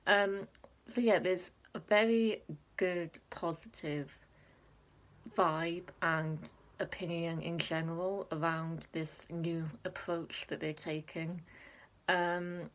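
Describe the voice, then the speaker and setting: A woman's voice: low voice, rough recording. Chatty woman vlogging. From Wales. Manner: chatty, many ums, laughs at herself. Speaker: Eurovision reaction vlogger. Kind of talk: casual chat to camera.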